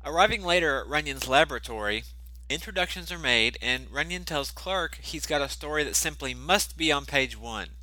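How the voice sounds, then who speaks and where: A male podcast host with a very bright, forceful tone and a slightly high pitch for a man, a little congested. He talks earnestly into a close mic in a dry, dead room with faint music underneath. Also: expressive, moderate pace, dry recording, rough recording. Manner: earnest, apologetic, reads notes with filler "you know". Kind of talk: casual scripted podcast talk.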